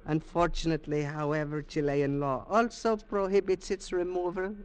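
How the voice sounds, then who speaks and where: A smooth, evasive old Peruvian antiques collector, acted by a man in an old-time radio play. His voice sits high. He hesitates now and then but keeps pressing his case. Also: fairly even intonation, lightly acted. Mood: persuasive. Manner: formal, slightly halting and persuasive. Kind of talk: 1950s radio drama acting.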